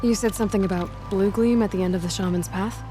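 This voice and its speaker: An actress playing a determined young huntress in a video game cutscene, blunt and dry. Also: female voice, mid-range voice, steady tone, fast, lightly acted. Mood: steady, blunt. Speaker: game protagonist. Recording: game audio with loud music.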